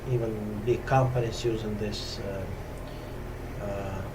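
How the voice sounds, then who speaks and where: A man from Russia speaking English with a Russian accent, talking slowly and hesitantly with many pauses and fillers in a casual spiritual talk to camera. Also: on the low side, steady tone, heavy background noise. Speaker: yoga teacher. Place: top-floor room at home on a hot day.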